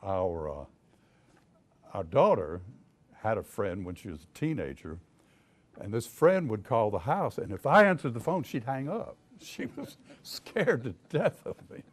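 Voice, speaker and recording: An older man with a deep voice and a Southern US accent, a private investigator telling folksy stories to an audience, chuckling as he goes. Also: sing-song, measured pace, husky. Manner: folksy storyteller, chuckles often, many restarts. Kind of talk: casual talk to an audience.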